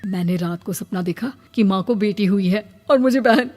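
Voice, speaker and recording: A woman's voice: medium pitch, moderate pace, a little mellow, slight background noise, pitched below the performer's own voice, lightly acted. A woman voicing a cheerful, dreamy young husband who chats and banters in a family story. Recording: long reverb, music bed.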